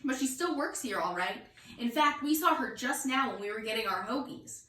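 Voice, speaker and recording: An easygoing young schoolboy from a storybook, read by a woman. He is plain-spoken and matter-of-fact, in a fairly high voice. The room echoes around the voice. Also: expressive, normal speed, pushed and bright. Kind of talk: children's storybook read-aloud. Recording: roomy echo, distant mic.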